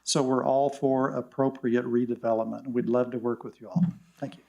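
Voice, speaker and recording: An older man giving a measured public comment at a village meeting, with frequent "uh" fillers. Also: medium pitch, little pitch movement, vocal fry, darkish tone, muffled recording.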